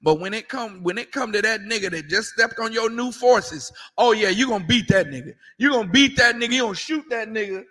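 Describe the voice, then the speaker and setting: A man's voice: high voice, expressive, a little fast, few pauses, fairly bright tone, long reverb tail. A man giving a confrontational sermon as a street preacher, emphatic and repetitive, with mocking asides.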